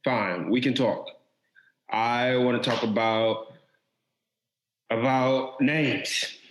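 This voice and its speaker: A man's voice: medium pitch, drawn-out words, slight background noise. A young man acting in an audio drama as a brooding, insecure, artistic younger brother with an earnest, informal, wounded way of talking. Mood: defensive, aggrieved. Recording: echoey, roomy sound.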